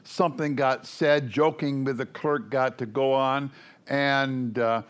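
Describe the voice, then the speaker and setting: An older man preaching to a congregation in a loud, folksy, joking way, rambling with many fillers. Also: medium pitch, fairly even intonation, takes it slowly.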